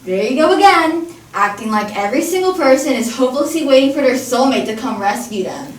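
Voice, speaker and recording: A woman's voice: high-pitched, animated, fairly quick. Woman playing a bitter, combative Tooth Fairy, distant and echoey. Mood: indignant. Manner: combative, argumentative, sarcastic. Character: bitter, sarcastic female Tooth Fairy in a debate. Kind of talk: stage comedy acting. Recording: echoey room, distant mic, faint noise.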